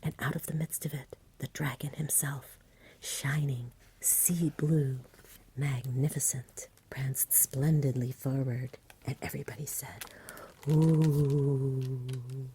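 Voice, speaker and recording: Woman, ASMR storybook reader, breathy whisper, low, mellow, soothing, close-miked. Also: fairly even intonation, a little hiss or hum. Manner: slow, hushed, soothing storytelling. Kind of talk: soft-spoken whispered ASMR storybook reading. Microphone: close microphone.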